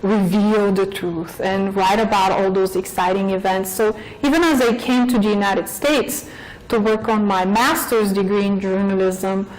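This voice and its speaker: A woman in her forties from Bulgaria with a Bulgarian accent, giving a thoughtful interview as a professor, with frequent pauses and fillers. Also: mid-range voice, steady tone, a little mellow, rough recording. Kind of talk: interview answers.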